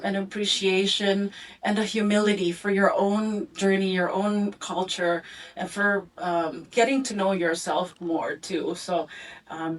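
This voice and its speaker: A middle-aged woman presenting her family story in a reflective talk with many pauses. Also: mid-range voice. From Philippines and Indonesia, raised abroad. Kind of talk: storytelling talk with photo slides. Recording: voice from a distance.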